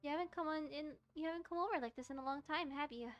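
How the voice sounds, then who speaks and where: A woman puts on a sweet, subdued teenage girl from a visual novel. Her voice is very high and girlish, and she speaks quickly and lightly. Faint music plays behind her. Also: muffled recording, close to an everyday voice. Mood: soft, subdued. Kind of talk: visual novel character read-aloud.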